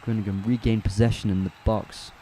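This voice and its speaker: A man with a lowish, mellow voice gives casual live play-by-play of a football match for a fan stream. He talks in a relaxed, chatty way, correcting names and answering viewers' questions as he goes. A faint hiss of noise sits behind him. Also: fairly quick. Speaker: match commentator on a fan watch-along stream.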